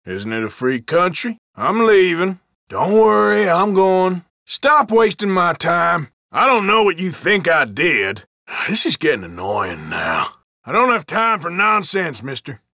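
An actor playing an irritable, wary Old West outlaw in a video game, with a rural American drawl and a folksy, sardonic, defensive manner. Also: male voice, high voice, big pitch swings, a little slow, sharp tone, dry recording, clean studio sound, full character voice. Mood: irritated. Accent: American, rural Western drawl. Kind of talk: game voice lines, short barks.

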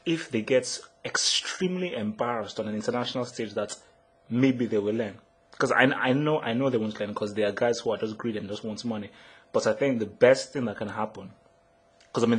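A young man from Nigeria giving a frustrated, emphatic solo football analysis, often restarting his words as he vents. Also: mid-range voice. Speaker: football blogger. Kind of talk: solo match analysis rant.

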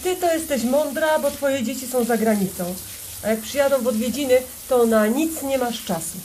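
A woman's voice: sits high, moderate pace, darkish tone, slight background noise, close to an everyday voice, low-quality recording. An actress playing a timid, self-sacrificing grandmother in her forties in an audio play, hesitant and apologetic. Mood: defensive. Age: middle-aged.

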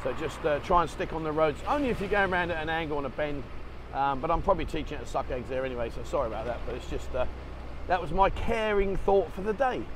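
A man's voice: a little high, fast. Chatty man vlogging from a motorbike. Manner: chatty, rambling, swears casually. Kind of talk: casual running commentary. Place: outdoors on a motorbike in town traffic, rain. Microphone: action camera held in hand. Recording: street and traffic noise behind.

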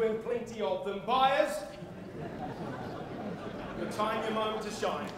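An Australian man calls a property auction in a high, projecting voice. His delivery is theatrical yet formally courteous. The sound is heavily reverberant, with faint background noise in a conference hall. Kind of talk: live property auction calling. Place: on stage in a large indoor conference hall.

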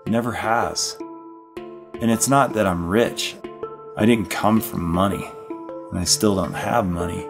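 Low-voiced middle-aged man over music. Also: a little slow, gravelly, pauses often. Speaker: ski guide. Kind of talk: reflective documentary interview.

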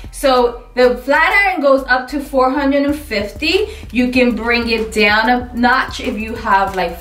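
A woman in her forties gives a chatty, animated beauty product review to the camera from an indoor studio. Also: mid-range voice. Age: 40s. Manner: chatty and animated, swears mildly, hands moving. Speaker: beauty vlogger. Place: indoor studio, dark backdrop. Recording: roomy, a bit far from mic.

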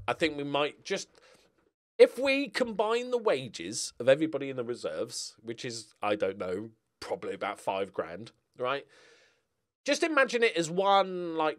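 A man's voice: mid-range voice, a bit bright. A middle-aged man giving casual, rambling commentary on a game, with a wry, self-mocking, jokey manner. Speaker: gaming video host.

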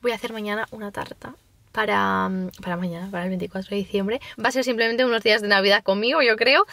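A woman's voice: mid-range voice, steady tone, fast, vocal fry, a bit bright. A woman in her twenties with a Castilian Spanish accent, chatting casually and expressively to camera as a vlogger. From Spain. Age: 20s. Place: parked car and a bedroom.

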